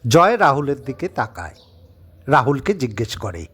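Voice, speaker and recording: A man narrating a scripted dramatic story in an expressive manner. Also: mid-range voice, moderate pace, slightly rough, soft background music.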